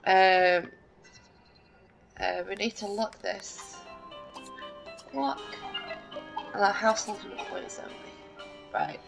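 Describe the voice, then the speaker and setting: A woman's voice: medium pitch, fairly even intonation, takes it slowly, gravelly, pauses often, over music, rough recording. A woman casually narrating her life-sim gameplay, rambling with many fillers and restarts, and poking fun at herself. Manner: self-deprecating, lightly laughing. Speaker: let's play gamer.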